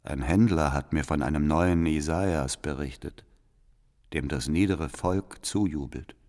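An actor playing a devout, calculating church spy in a radio drama, reading out his reports in a formal, deliberate, deferential manner. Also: very deep voice, a little mellow. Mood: deferential, watchful. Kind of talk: radio drama letter monologue. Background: faint music.

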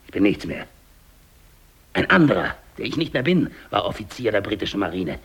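An actor playing a dying, despairing English polar explorer alone, brooding and halting, in a 1950s German radio drama monologue. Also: male voice, lowish voice, big pitch swings, heavily acted. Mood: desperate, bitter. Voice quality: gravelly, husky. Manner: halting, brooding, repeats words, hushed to declamatory.

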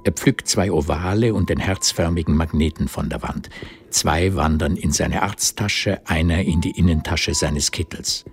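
A man's voice: low voice, normal speed, faint music behind. A man narrating a literary radio drama in scripted, present-tense prose.

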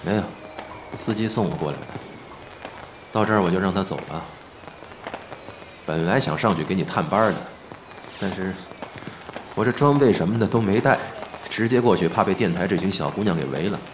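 A man's voice: deep voice, lively intonation, fairly quick, long pauses. A man playing a cool, casual celebrity in an audio drama, talking in a dry, wry way. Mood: wry, teasing. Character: cool, casual male celebrity hiding his feelings. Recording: clearly noisy background ambience.

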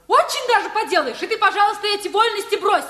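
An actress playing a bossy, sharp-tongued wife of about thirty in a radio play, scolding and sarcastic. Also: female voice, very high-pitched, animated, fast talker, heavily acted. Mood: indignant.

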